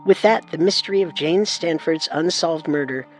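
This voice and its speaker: High-voiced man narrating true crime. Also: normal speed. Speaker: narrator and co-host.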